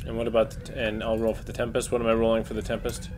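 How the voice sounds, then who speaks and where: A man's voice: on the low side, completely flat delivery, very fast. A man playing a tabletop roleplaying game, chatting casually in a colloquial, decisive way. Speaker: player captaining the ship. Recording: clearly noisy background.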